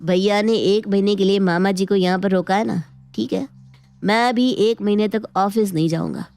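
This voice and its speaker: A woman voicing a competitive young niece who flatters sweetly and schemes, in an animated moral story. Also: mid-range voice, moderate pace, faint music behind, natural voice. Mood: determined, scheming. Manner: sugary, ingratiating.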